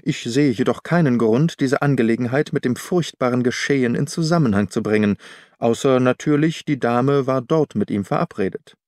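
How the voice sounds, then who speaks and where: A sober gentleman, read by a male narrator, reports in a matter-of-fact, reasoned way. The audiobook voice is medium in pitch and recorded dry. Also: moderate pace, no echo, lightly acted. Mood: earnest.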